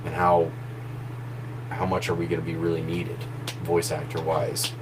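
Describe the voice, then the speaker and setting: A man with a very deep, low voice talks loosely on a live stream, drifting from thought to thought and laughing easily. A steady hum runs clearly underneath the recording. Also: normal speed. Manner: relaxed, rambling, laughs easily. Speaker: horror story narrator and voice actor. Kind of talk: casual live stream chat. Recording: noisy background hum.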